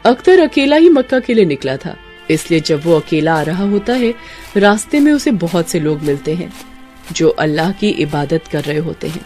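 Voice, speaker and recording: A woman narrates a cartoon tale in a scripted storytelling style. Her voice sits slightly low, and soft music plays underneath. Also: big pitch swings, normal speed.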